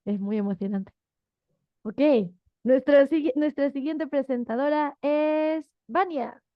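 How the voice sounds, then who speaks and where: A woman's voice: sits high, unhurried, no room sound, muffled recording. A woman moderating an online event, enthusiastic and searching for words as she reflects. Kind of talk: casual moderating.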